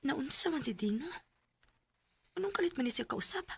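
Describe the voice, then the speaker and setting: A woman's voice: sits high, expressive, moderate pace, vocal fry. A woman with a Cebuano Filipino accent playing a restless, easygoing young woman in a radio drama, on a noisy recording. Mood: puzzled, fretful.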